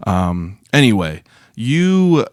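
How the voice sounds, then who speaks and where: Host, late-20s man, low voice, dry close sound, loose and sweary, easygoing podcast chat. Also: big pitch swings, takes it slowly, a little mellow, clean tone, dry recording. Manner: laid-back, swears freely, lots of filler likes. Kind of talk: casual interview chat.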